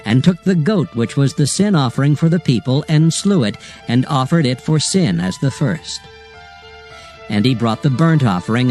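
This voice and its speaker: A man narrating scripture from a script in a solemn, measured, formal manner. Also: mid-range voice, a little mellow. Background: music.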